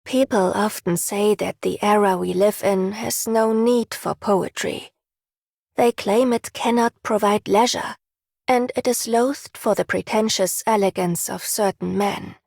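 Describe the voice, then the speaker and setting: An actress playing a refined, wistful poet in a video game, with elegant, literary phrasing. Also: female voice, mid-range voice, steady tone, a little slow, creaky, darkish tone, no echo, clean studio sound. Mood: wistful, resolute. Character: refined, wistful female poet, gently resolute. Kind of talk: scripted video game character voice line.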